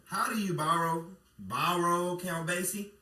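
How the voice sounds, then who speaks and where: A man playing an excitable, wisecracking 1950s Hollywood radio station man, theatrical and exasperated in his radio comedy delivery. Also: high-pitched, measured pace, heavily acted. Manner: theatrical, exasperated, repeats and stammers in disbelief. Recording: echoey, distant, noisy room with crowd sound.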